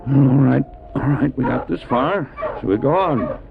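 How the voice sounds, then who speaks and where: A man's voice: medium pitch, expressive, moderate pace, vocal fry, very noisy. A man acting an anxious, determined dog-sled driver in a radio drama, telling his story earnestly and urgently. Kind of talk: first-person dramatic narration, radio drama. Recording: tinny narrow-band old cassette, music behind.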